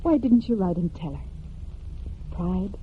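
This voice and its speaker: A woman's voice: lowish voice, lively intonation, measured pace. Earnest farm woman, tinny old radio. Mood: probing. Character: earnest, quietly tender young farm household woman. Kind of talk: 1940s American radio drama acting. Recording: tinny, noisy 1940s radio broadcast.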